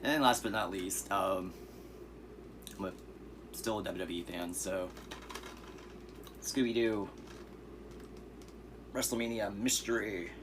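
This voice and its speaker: A man with a very low, hoarse voice runs through a pile of cheap movie finds, easygoing and rambling, with fillers along the way. There is clear steady noise behind him. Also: takes it slowly, pauses often. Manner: relaxed, rambling, with fillers and asides. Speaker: vlogger showing thrift finds. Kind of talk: casual vlog.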